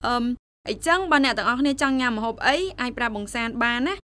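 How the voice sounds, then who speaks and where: A helpful young woman coordinating a group in a radio drama, played by an actress. Her voice is fairly high and bright. She is brisk. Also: clean tone.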